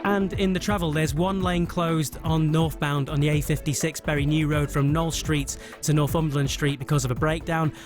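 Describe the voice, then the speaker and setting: A man's voice: on the high side, steady tone, moderate pace, hardly any pauses, music in the background. A British man reading a scripted radio news bulletin as the presenter.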